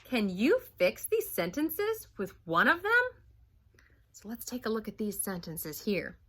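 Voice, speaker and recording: A bright, expressive woman reads a storybook aloud at an unhurried pace, her pitch swinging widely as she brings the tale to life. She talks like a teacher with her young class, pausing to ask simple questions. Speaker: first grade teacher. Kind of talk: reading a picture book aloud to young children.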